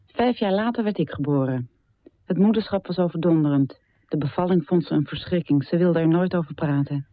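Grown daughter played by a woman calmly looking back in a radio play, measured, dry narrow tinny sound. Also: low voice, no echo, close to an everyday voice. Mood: sober, reflective. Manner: measured, reflective storytelling. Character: poet's grown daughter recalling her difficult mother. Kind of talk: scripted radio drama narration. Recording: thin, band-limited dry radio recording.

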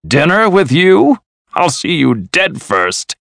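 Mean old male miser, played by a male narrator: curt, scornful, storybook style, very animated delivery. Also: on the high side, takes it slowly, vocal fry, voice pushed up, full character voice. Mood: threatening. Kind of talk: storybook character voice.

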